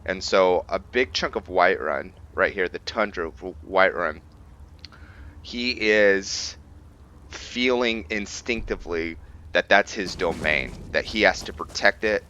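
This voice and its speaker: A man giving casual gameplay commentary and explaining character lore, haltingly thinking aloud as he goes. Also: low voice, sharp tone. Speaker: gameplay host and role-player.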